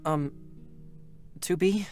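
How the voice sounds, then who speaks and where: Young android boy, earnest, chatty, expressive, hesitant, game drama reading, music behind. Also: high voice, extremely slow. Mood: anxious, caring. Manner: eager, hesitant, emotionally expressive.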